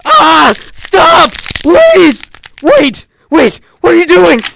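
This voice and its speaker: A man's voice: extremely high pitch, wildly swooping pitch, very slow, creaky, background noise, exaggerated voice. An actor playing a panicked henchman screaming and pleading in agony in a radio drama. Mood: agonized, pleading.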